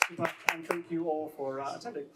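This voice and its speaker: A man's voice: on the high side, steady tone, quick pace, heavy background noise. A man moderating a festival panel, speaking in rambling, hesitant phrases full of fillers. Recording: distant mic.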